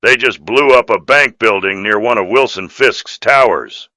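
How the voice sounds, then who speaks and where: A man's voice: on the low side, moderate pace, pushed and bright, dry recording. A man voicing an earnest, dutiful police officer in video game dialogue, firm and official in manner.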